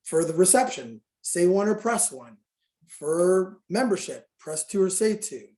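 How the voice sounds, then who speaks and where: A man's voice: sits high, very animated, a little slow, warm tone, reverberant, poor audio. A middle-aged man speaking candidly and earnestly on a video call meeting, talking to fitness business owners.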